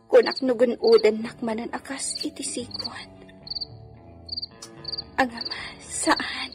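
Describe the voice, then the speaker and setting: An actress with an Ilocano accent playing an anxious, devoted wife in a radio comedy-drama, with a pleading, exclaiming manner. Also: female voice, on the high side. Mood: hushed, troubled.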